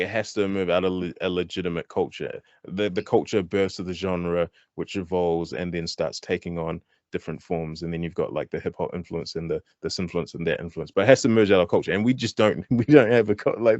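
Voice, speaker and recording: A man with a New Zealand accent, a hip-hop artist guesting on an interview, talking candidly with quick restarts and lively pitch jumps. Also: no echo, dull audio. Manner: animated, thinks aloud with many restarts. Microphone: online call.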